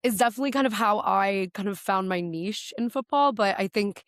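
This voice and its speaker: A woman's voice: mid-range voice, fairly quick, no room sound. Casual woman from Texas, dry close sound. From Dallas, Texas, United States. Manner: informal, peppered with "like" and "kind of". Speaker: podcast guest, sports publicist, writer and presenter. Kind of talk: casual chat.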